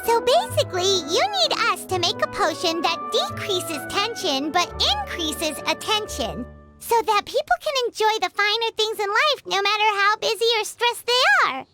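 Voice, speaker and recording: A woman voicing a small, earnest, excitable childlike fairy companion with a squeaky voice in a video game. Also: moderate pace. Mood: thoughtful. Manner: refers to self in third person, peppy. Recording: loud tinkly game music behind.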